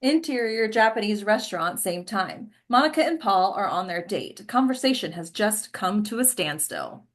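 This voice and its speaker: Woman reading stage directions, mid-pitched. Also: big pitch swings, normal speed, few pauses. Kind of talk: scripted narration at a table read.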